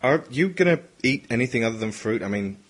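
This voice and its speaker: A man's voice: mid-range voice, animated, fast talker, slightly rough, pitched above the performer's own voice. A man playing a brash, sardonic male intelligence chief in an audio drama, bossy and teasing. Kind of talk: hobby audio drama acting.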